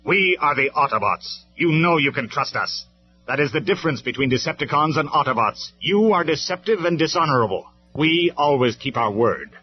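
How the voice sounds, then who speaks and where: A man voicing a stern, commanding male robot leader who speaks loud and measured, on a dull lo-fi recording with faint music. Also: medium pitch, expressive, pitched above the performer's own voice, close to an everyday voice.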